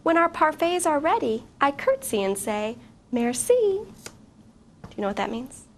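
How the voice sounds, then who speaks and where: A high-pitched middle-aged woman reads a picture book aloud to children, expressive and often pausing to ask them questions. She takes her time over the words, and a faint hiss of noise sits under her voice. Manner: expressive, questions to young listeners. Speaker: guest reader, teacher.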